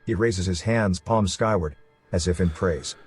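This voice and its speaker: A man's voice: low voice, a little slow, a little mellow, husky, dry recording. A man reading scripted, dramatic narration for a suspense story. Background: music.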